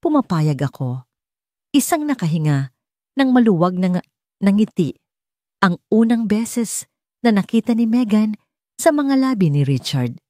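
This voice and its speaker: A woman's voice: medium pitch, very animated, measured pace, pauses often, mellow, no echo, clean studio sound. A woman with a Filipino accent reading a scripted romance story as an audiobook narrator.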